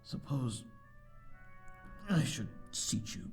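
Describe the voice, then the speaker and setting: A man's voice: mid-range voice, big pitch swings, very slow, gravelly, a little mellow, faint music behind, full character voice. A man playing a lonely, refined vampire lord with formal, wistful speech in an ASMR audio drama. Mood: composed, pensive. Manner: formal, wistful, archaic wording.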